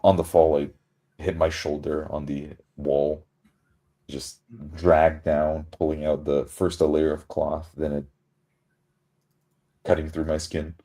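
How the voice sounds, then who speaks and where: A man's voice: very deep voice, little pitch movement, slow pace, long pauses, warm tone. A man voicing his character in a tabletop roleplay, describing actions and groaning in pain. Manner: groaning, pained in-character talk. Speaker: player.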